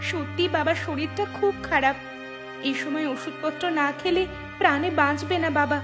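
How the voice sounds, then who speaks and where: A woman's voice: high-pitched, normal speed, over music, natural voice. A woman voicing an earnest, distressed young daughter in a cartoon fairy tale, plaintive and heartfelt. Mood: pleading. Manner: pleading, plaintive, reproachful.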